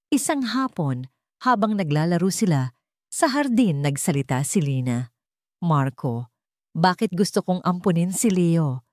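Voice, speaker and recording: A woman's voice: sits low, darkish tone, no echo, clean studio sound. A woman with a Filipino accent narrating a scripted dramatic audio story.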